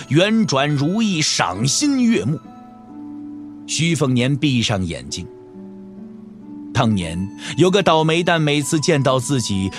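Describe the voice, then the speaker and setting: A man narrating an audio drama from a script in an expressive storytelling manner. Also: a little high.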